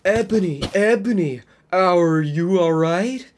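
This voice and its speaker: A man's voice: high voice, slow pace, pitched above the performer's own voice. A man voicing a melodramatic teenage gothic boy with exaggerated, emotional delivery in a comedic fan fiction reading. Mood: worried, tender.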